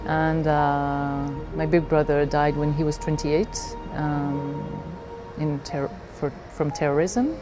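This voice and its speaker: Low-voiced reflective woman from Belgium over music. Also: steady tone, slow pace. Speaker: leadership coach. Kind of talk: reflective personal storytelling.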